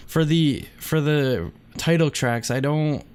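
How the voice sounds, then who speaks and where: A man's voice: fairly high pitch, takes it slowly, a little mellow, clear, resonant tone. A man co-hosting a casual music review podcast, talking hesitantly and hedging his opinions. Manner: hesitant, hedging, full of "I think" and "like". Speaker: podcast co-host.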